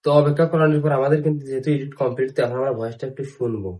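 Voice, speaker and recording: A man's voice: mid-range voice, fairly even intonation, racing, mellow, soft background music. A man hosting a casual step-by-step app tutorial, with repetitive, instructional phrasing. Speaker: tutorial host.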